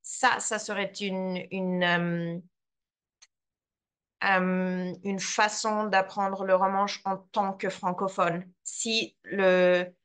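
A woman presenter answering questions in an online talk, slow and careful, searching for words. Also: mid-range voice, flat delivery, a bit bright, smooth. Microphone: computer mic on a video call.

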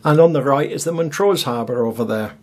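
A man's voice: medium pitch, brisk, a little mellow. A man narrating his own seaside video in a casual, chatty way with wry asides. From Scotland. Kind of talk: casual voice-over commentary.

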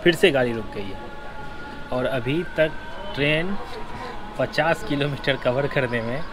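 A man in his thirties narrating a train travel vlog to camera in an informative, explanatory way. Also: measured pace. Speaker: travel vlogger. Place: on a moving train and at open railway platforms. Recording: loud train, crowd and outdoor noise. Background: train rumble, station crowd.

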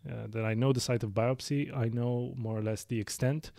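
A man in his thirties with a Romanian accent, hosting a medical podcast and explaining his clinical practice in a measured way, with frequent fillers and self-corrections. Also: medium pitch, fairly even intonation, creaky, warm tone, dry recording, clean studio sound. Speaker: lung specialist physician, podcast host. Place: small dark studio. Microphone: studio mic on boom arm.

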